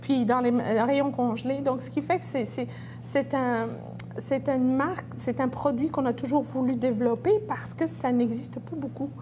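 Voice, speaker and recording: A woman's voice: sits high, steady tone, moderate pace, noisy background. A middle-aged woman from Belgium giving conversational answers as an entrepreneur in an interview. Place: restaurant.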